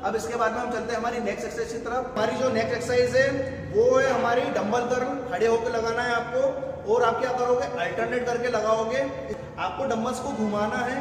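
A man in his thirties, a fitness trainer, talking viewers through bicep exercises step by step while he demonstrates them in a large indoor gym. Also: very high-pitched. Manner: instructive, step-by-step, mixes English gym terms. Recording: echoey gym hall, noisy, mic at a distance.

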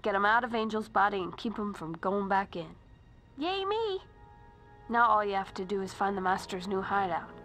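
A woman's voice: medium pitch, lively intonation, normal speed, fairly bright tone, soft background music, lightly acted. An actress playing a perky, earnest, nerdy teenage girl in a video game, chirpy and a little awkward. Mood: proud, excited.

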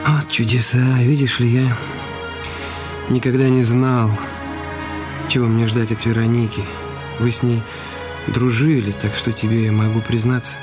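A man acting in a radio drama as an anxious, tender husband nursing his ailing wife, speaking haltingly and coaxingly. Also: medium pitch, little pitch movement, over music, close to an everyday voice. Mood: confiding, uneasy.

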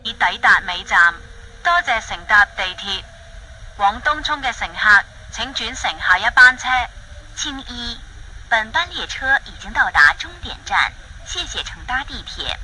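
A woman delivers formal train announcements in a bright, crisp-edged and fairly high voice. Her intonation moves in lively steps, over faint station noise. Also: normal speed, rough recording. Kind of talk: recorded public transit announcements.

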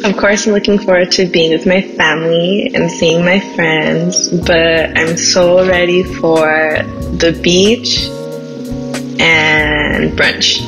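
Slow, low-voiced woman. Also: flat delivery, rough recording. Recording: music playing underneath.

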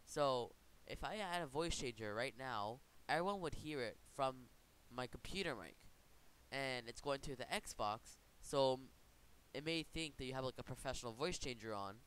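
A man hosting an informal software tutorial, talking in a casual, rambling way and correcting himself as he goes. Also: medium pitch, animated, normal speed, smooth, low-quality recording. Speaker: tutorial host. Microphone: computer microphone. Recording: noticeable background hiss.

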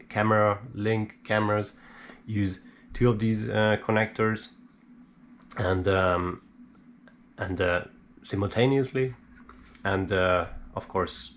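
A man giving a casual, unscripted hands-on explainer as a hobbyist, halting and hesitant as he thinks aloud. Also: lowish voice. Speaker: DIY electronics hobbyist presenter. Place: small indoor home workshop. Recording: steady background noise.

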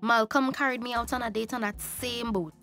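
A woman's voice: fairly high pitch, steady tone, fairly quick, sharp tone, faint music behind. An actress with a Tobagonian accent playing a defiant, exasperated young woman in a radio drama. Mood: indignant. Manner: sassy, indignant.

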